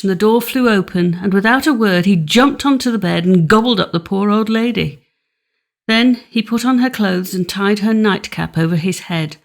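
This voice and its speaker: A woman narrating a fairy tale audiobook in a measured, expressive storytelling manner. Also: medium pitch.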